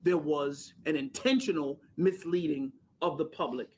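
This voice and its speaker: A man's voice: on the high side, normal speed, husky. A man speaking as a lawyer, making an emphatic, rhetorical statement to the news media. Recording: noisy news conference clip.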